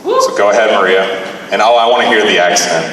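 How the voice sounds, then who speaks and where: A man's voice: fairly low pitch, quick pace, fairly bright tone. Improv host: man, loose profane delivery, long hall reverb and background noise. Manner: profane, loose and joking, directs the skit. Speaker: improv comedian, skit host. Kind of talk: live stand-up improv. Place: bar stage with live audience. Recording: echoey hall, distant mic, noise.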